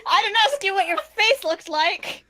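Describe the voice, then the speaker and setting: Woman, high voice, bright edge, rambling mock exasperation, improvised banter, faint noise. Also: animated, unhurried. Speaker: fandub performer. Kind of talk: improvised comedic dub banter. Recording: faintly noisy group stream.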